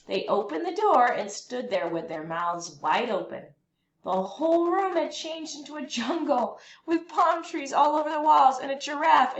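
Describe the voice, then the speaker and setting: Female storyteller for a children's picture book, highish and very lively in pitch, roomy home-style sound. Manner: animated, deliberate phrasing for young listeners. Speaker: read-aloud storyteller. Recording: roomy echo, faint background noise.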